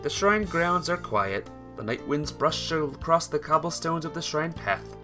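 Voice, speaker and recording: A man's voice: on the high side, a little slow, music in the background. A man streaming a visual novel, switching between casual chat with viewers and reading the story aloud. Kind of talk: casual livestream chat mixed with expressive read-aloud narration.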